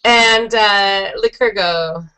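A woman's voice: mid-range voice, drawn-out words, pushed and bright, low-quality recording. A woman in her thirties hosting a group English class over a video call on a headset, encouraging each student with short praise. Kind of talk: friendly class moderation. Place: small room indoors.

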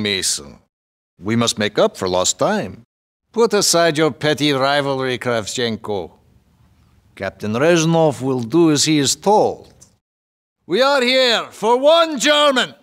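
Soviet spymaster villain, Russian accent, slow deliberate threats, widely swinging pitch, cold and commanding, video game. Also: male voice, a bit bright, exaggerated voice. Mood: stern authority.

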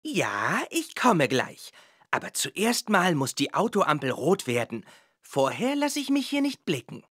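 An actor voicing an easygoing little green traffic-light man in a kids' game, husky and friendly. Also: on the high side, huge pitch swings, a little slow, fairly bright tone, dry recording, clean studio sound, exaggerated voice.

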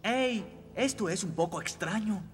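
A man's voice: high-pitched, lively intonation, a little slow. A man voicing a cautious, questioning boy in a cartoon adventure. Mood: wary. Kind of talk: dubbed animated adventure acting. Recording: long reverb, clear music behind.

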